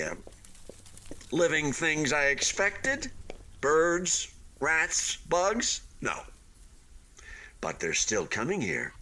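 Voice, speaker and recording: Radio play: a half-built hotel with feelings, eager and deluded, slow theatrical delivery, bright edge. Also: male voice, medium pitch, a little hiss or hum, exaggerated voice. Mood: earnest, insistent. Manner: theatrical, halting then gushing, swooping emphasis. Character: unfinished hotel building, male, wounded, proudly deluded, eager optimist.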